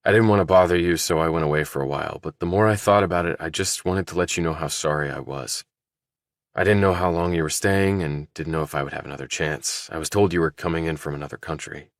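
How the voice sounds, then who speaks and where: A man's voice: very deep voice, quick pace, dry recording, studio quality. A man voicing a guarded, polite young man in audiobook dialogue. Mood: earnest.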